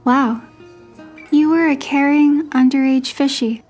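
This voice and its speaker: A woman voicing a gentle young heroine, with measured first-person narration and dialogue from a romance story. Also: sits high, steady tone. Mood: tender, curious. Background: background music with guitar.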